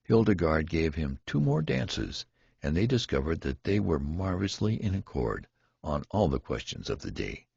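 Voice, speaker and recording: A man's voice: a bass voice, big pitch swings, moderate pace, creaky, darkish tone, breathy edge, no echo, dull audio. A man reading a literary story aloud as scripted audiobook narration. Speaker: audiobook reader.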